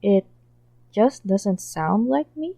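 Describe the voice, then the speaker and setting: A woman reading a podfic, voicing a gentle young man who is a mafia boss, pouty and hesitant. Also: medium pitch, expressive, takes it slowly, gravelly, dry recording, exaggerated voice. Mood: flustered.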